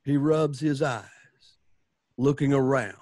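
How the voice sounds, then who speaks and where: A man playing the announcer of a Western radio serial, narrating theatrically and deliberately with swelling emphasis. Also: medium pitch, a little mellow, dry recording, clean studio sound. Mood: suspenseful storytelling. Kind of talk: scripted radio drama narration.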